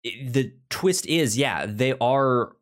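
A male podcast co-host talks through a review in a casual way. His voice sits at a middling pitch, and he moves haltingly, stammering and repeating words as he works toward his point. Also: animated.

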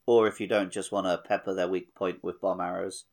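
A British man co-hosting a gaming podcast, chatting casually and riffing on jokes in an animated way. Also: sits low, fairly quick. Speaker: podcast co-host.

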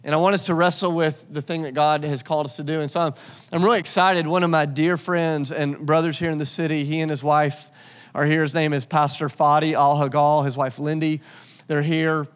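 A man's voice: a little high, flat delivery, brisk, clear, resonant tone. A man, the church's pastor, speaking conversationally from the stage and introducing a guest. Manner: conversational, warm pastoral delivery with restarts. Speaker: lead pastor. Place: church sanctuary. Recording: long church hall reverb.

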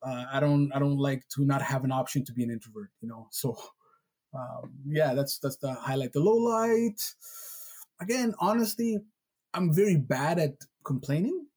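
A man's voice: mid-range voice, animated, normal speed, a little mellow, slightly rough. A man born in Somalia, a political candidate, talking reflectively and a little hesitantly in a casual chat.

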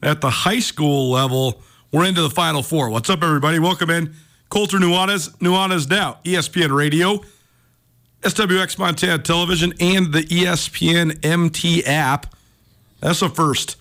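A man's voice: a little high, normal speed, fairly bright tone. An American man hosting a sports talk radio show.

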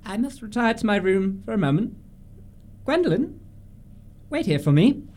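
Man acting an earnest, formal young gentleman. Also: sits high, big pitch swings, moderate pace. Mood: urgent, agitated. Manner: formal, insistent. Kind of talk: stage comedy radio acting.

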